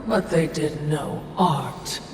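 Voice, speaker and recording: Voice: medium pitch, takes it slowly, creaky. An actor playing a sly, obsequious watcher spirit in a video game cutscene, servile yet wry in manner. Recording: echoey, distant, noisy ambience behind.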